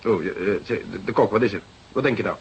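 An actor playing an eager, impulsive young police detective in a radio drama, speaking emphatically. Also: male voice, lowish voice, fast, dull audio, lightly acted. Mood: impatient, insistent. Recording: noisy radio drama recording.

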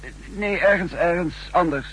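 A man playing a nervous, hesitant double agent in a 1960s radio drama, speaking formally with stammering pauses. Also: sits high, a bit bright, slightly rough, full character voice, rough recording. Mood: hesitant, evasive. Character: nervous, hesitant male double agent posing as a spy chief. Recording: humming old 1960s radio recording.

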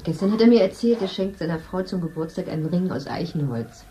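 An actress playing a bitter, matter-of-fact worker who reels off grim tallies. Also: female voice, on the low side, brisk, reverberant, a little hiss or hum, lightly acted, rough recording. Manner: flat, bitter, listing figures. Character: coldly matter-of-fact woman clinic worker. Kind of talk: radio drama acting.

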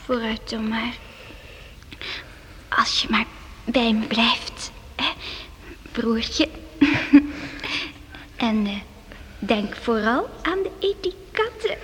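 A woman's voice: on the high side, extremely slow, long pauses, fairly bright tone. An actress playing a playful, headstrong young queen with a childlike manner in a Dutch radio play. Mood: playful, confiding. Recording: noisy old radio play recording.

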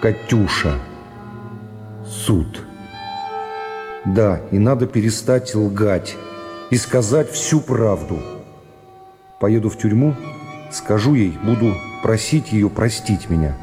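An actor playing an earnest, remorseful gentleman thinking aloud slowly and haltingly in a radio reading. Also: fairly low pitch, lively intonation, long reverb tail, over music. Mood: resolute, moved. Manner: slow, halting, introspective.

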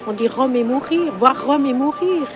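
A woman tourist answering an interview in an unhurried, slightly halting way. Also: high-pitched, animated. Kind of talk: answering a vox-pop interview. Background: music.

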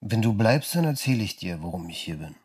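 A man's voice: on the low side, very animated, fast, a little mellow, breathy edge. A man playing an evasive, sardonic lover in naturalistic radio drama dialogue, dodging with dry mockery. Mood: pleading. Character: evasive, sardonic lover stuck in a small town.

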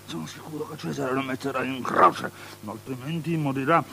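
A man's voice: mid-range voice, normal speed, creaky, pushed and bright, a little hiss or hum. An actor playing a lecherous, fearful biblical king in a theatrical drama, stammering and repeating himself obsessively between whispers and shrieks. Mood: boastful, shrill. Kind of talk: avant-garde theatrical radio drama.

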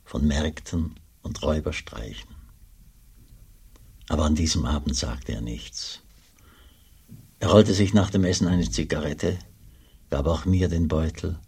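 An old, pious monk narrating a radio play about his youth. The voice is extremely low and heavily husky. He tells his story slowly and solemnly, in measured literary phrases. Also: a little mellow, heavily acted. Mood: wistful. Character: aging pious monk recalling his poor mountain boyhood.

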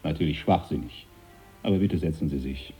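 An actor playing a stern, devout British naval captain in a radio drama, brusque, blunt and commanding. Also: male voice, a bass voice, fairly quick, mellow, slightly rough, faint music behind, exaggerated voice. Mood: scornful.

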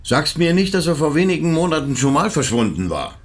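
An actor playing an impatient police commissioner in a radio drama, questioning in a clipped, insistent way. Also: male voice, on the high side, sing-song, fairly quick. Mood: reproachful, probing.

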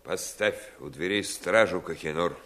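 An actor playing a menacing, commanding pirate chief with a gravelly voice in a fairy-tale audio play. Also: male voice, low voice, moderate pace, fairly bright tone. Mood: curt, commanding.

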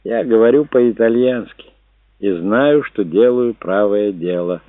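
A man voicing an older, resolute Italian aristocrat with a measured, authoritative manner in an audiobook. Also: lowish voice, no echo, lightly acted. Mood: grave, probing. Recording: dull narrow phone-like sound.

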